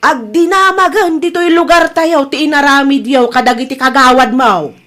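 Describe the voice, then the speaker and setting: A woman's voice: high-pitched, normal speed, a bit bright. A woman with an Ilocano accent playing a disappointed, nagging mother who reproaches her son in an audio drama. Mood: ashamed, reproachful. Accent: Ilocano, northern Philippines. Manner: reproachful, lamenting.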